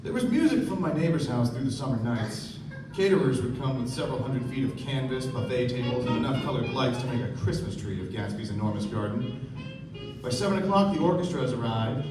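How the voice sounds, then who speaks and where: An actor playing a wry, observant young narrator, telling the story in measured radio play style. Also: male voice, mid-range voice, close to an everyday voice. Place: theatre stage before an audience. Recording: echoey, noisy stage recording from far off.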